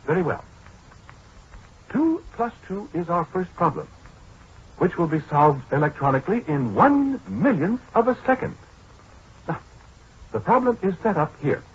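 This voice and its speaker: An actor playing a pompous, pedantic scientist who is easily flustered, in a 1940s radio drama. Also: male voice, high-pitched, sing-song, measured pace, long pauses, exaggerated voice. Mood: pompous, lecturing. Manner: pedantic, precise, lecturing. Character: pompous, flustered male scientist demonstrating a calculating machine. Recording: muffled, husky-sounding 1940s radio recording.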